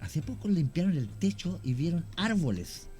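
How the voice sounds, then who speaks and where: A man's voice: a little high, sing-song, normal speed, dark tone. A man with a Chilean accent, a radio guest giving long explanations full of fillers and restarts. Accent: Chilean Spanish.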